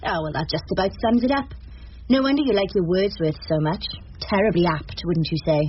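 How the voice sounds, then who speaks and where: A woman's voice: on the low side, fairly quick, close to an everyday voice. An actress playing an indignant English woman barrister in a radio drama, sardonic and reproachful in manner. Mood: sarcastic. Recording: muffled, noisy lo-fi radio recording.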